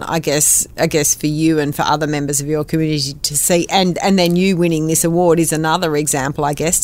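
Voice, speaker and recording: A woman interviewer asking long, measured questions and giving short encouraging replies, hedging with "I guess". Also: sits low, darkish tone.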